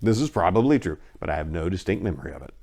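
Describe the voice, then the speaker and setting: A man's voice: sits low, sing-song, rapid-fire, vocal fry, a little mellow, exaggerated voice. A man playing a haunted, shaken witness giving formal, theatrical, emphatic testimony in a dramatic horror reading. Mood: grave.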